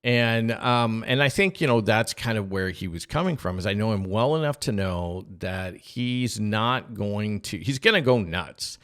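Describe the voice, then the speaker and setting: A middle-aged man, a podcast co-host, tells personal stories in a casual, halting way and acts out remembered dialogue. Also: fairly low pitch, lively intonation, a bit bright, clean tone. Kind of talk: casual conversational storytelling.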